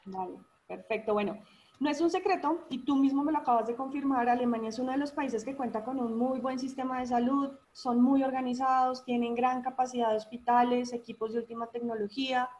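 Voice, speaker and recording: A woman's voice: sits high, steady tone, a little fast, darkish tone, dull audio. A woman from Colombia interviewing a guest for a study-abroad agency, summing up his answers. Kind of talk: interview hosting.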